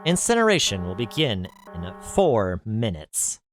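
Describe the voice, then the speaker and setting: A man's voice: fairly low pitch, huge pitch swings, unhurried, slight background noise. A man voicing a cruel, genteel middle-aged male villain who talks in a mocking, overly polite way. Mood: cold. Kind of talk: audiobook character voice.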